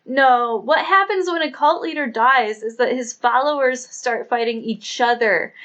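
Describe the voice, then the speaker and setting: A man's voice: very high voice, a bit bright, pitched above the performer's own voice, heavily acted. A man voicing a brash, stubborn young woman who argues emphatically in a colloquial way, in an audiobook. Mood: matter-of-fact.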